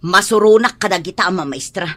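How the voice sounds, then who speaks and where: Woman as a sharp, stubborn Ilocano mother. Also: medium pitch, fast talker, lightly acted. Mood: indignant, defiant. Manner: combative. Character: stubborn, indignant Ilocano mother demanding her way. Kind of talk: radio drama acting.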